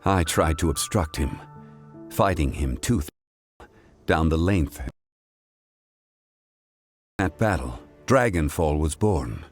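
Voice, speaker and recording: An actor playing an ancient, dignified god in human form in a fantasy video game, speaking in a grave, measured, formal way. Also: male voice, very deep voice, slightly rough, full character voice.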